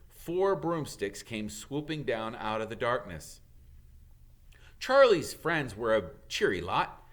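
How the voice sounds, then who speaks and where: A man reading a children's novel aloud as a teacher would, in expressive storybook narration. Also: mid-range voice.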